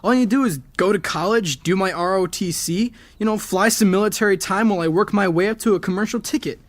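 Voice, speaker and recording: An actor playing a frustrated but determined teenage high school athlete in an audio drama, earnest and defensive. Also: male voice, quick pace, close to an everyday voice. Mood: heated, defiant.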